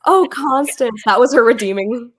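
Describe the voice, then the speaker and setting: A woman hosting a casual book club chat over a video call, losing her voice as she gets over an illness, chatty and quick to laugh. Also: on the high side, slightly rough. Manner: chatty, laughs often, trails off.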